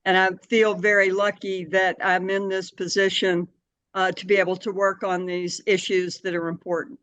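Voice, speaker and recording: A woman's voice: medium pitch, little pitch movement, moderate pace, a bit bright, dry recording. Dull-sounding recording of an older woman explaining. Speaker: interview guest, city board member and anti-hunger advocate. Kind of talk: conversational interview answers.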